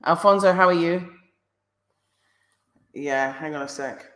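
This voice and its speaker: A woman's voice: low voice, a little slow, fairly bright tone, echoey room. A woman with a British accent hosting a casual live-stream interview, pressing her guest with repeated questions. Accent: British English.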